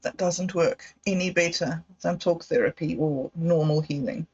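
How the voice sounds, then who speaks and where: A woman hosting a livestream, talking slowly and candidly in a meandering personal monologue. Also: low voice, darkish tone, breathy edge. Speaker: livestream host. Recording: dull lo-fi livestream audio.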